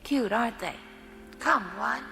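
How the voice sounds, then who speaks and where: A woman playing a cute, coaxing little girl in a radio drama, giving gentle, breathy commands. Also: on the high side, unhurried. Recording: long reverb, music behind.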